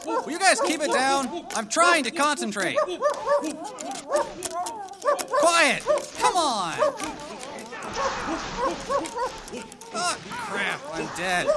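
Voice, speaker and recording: Irritable teenage son, acted, high voice, sarcastic and whiny, live stage audio drama, noisy crowd. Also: big pitch swings, unhurried, exaggerated voice. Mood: exasperated.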